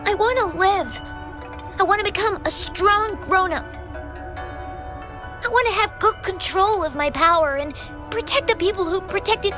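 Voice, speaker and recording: A woman voicing an earnest, plucky little girl in an anime game, with halting childlike speech. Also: extremely high pitch.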